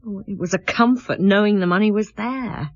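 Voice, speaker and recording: A woman's voice: mid-range voice, normal speed, no echo, dull audio, natural voice. Actress as a scornful, bitter woman, dry sound. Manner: contemptuous, defiant, excitable when challenged. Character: scornful, bitter married woman confessing under police questioning. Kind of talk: radio drama acting.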